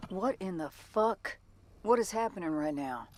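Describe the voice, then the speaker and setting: A woman's voice: mid-range voice, animated, unhurried. An actress playing a tough, plainspoken American female bounty hunter in a video game. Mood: alarmed. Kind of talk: video game cutscene acting.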